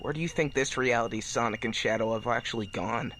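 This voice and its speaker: A man's voice: medium pitch, brisk, fairly bright tone, a little hiss or hum, voice pushed down, natural voice. A man playing an anxious young male hedgehog hero in a fan fiction audio drama reading. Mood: hesitant, worried.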